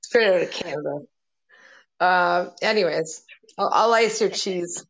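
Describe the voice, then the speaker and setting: A woman's voice: on the low side, a little slow, poor audio. Teasing, sarcastic young woman. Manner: teasing, sarcastic, swears freely. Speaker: podcast host. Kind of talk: casual chat.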